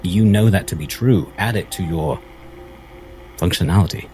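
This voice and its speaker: A man playing an earnest, firm crewman in a dramatic space roleplay, speaking deliberately. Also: low voice, a little mellow, a little hiss or hum, natural voice, poor audio. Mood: firm, commanding. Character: earnest, firm male crewman lecturing a machine.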